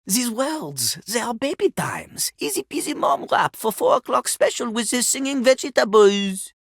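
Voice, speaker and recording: Pompous, dramatic French poet-rapper, swooping delivery. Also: female voice, a little high, big pitch swings, normal speed, husky, no echo, studio quality, heavily acted. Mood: haughty disdain. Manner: haughty, dramatic, speaks of himself in third person. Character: pompous French toilet poet-rapper, a boastful male character. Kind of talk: theatrical video game character dialogue.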